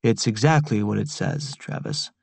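A man voicing a calm, dry-witted older man who speaks quietly and in an understated way. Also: on the low side, normal speed, creaky, no room sound, heavily acted.

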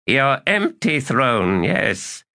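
A man voicing a weary, melancholy old male elder god, speaking in a resigned, slow and measured way. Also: medium pitch, very animated, gravelly, pushed and bright, pitched above the performer's own voice, exaggerated voice. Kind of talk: audiobook character reading.

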